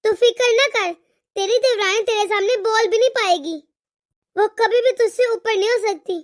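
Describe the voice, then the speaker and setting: An imperious older mother-in-law from an animated family story , played by a woman, with an extremely high voice. She is sharp-tongued and emphatic, and fiercely devoted to her daughter. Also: moderate pace. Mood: reassuring, proud. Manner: scolding, emphatic rhetorical questions. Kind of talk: animated family drama dialogue.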